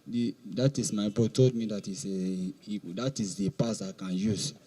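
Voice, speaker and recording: A man in his thirties from Nigeria, with a Nigerian accent, answering questions deferentially in halting English as a suspect, outdoors on a handheld microphone. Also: fairly low pitch, steady tone, dark tone, breathy edge. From Nasarawa State, Nigeria. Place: outdoors in a police compound.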